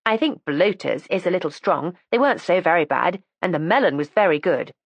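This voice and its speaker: A woman's voice: fairly low pitch, animated, fairly quick, no echo, highs cut off. A woman reading the part of a precocious, matter-of-fact teenage schoolgirl who chats candidly and primly. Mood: chatty. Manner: chatty, candid and prim.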